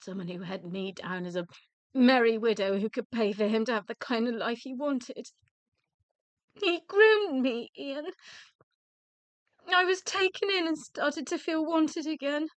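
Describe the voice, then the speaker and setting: An actress in a radio drama playing a weary, emotional widow who speaks in halting, deliberate phrases. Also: female voice, on the high side, no echo, natural voice. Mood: regretful, confiding. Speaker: actor in a radio play.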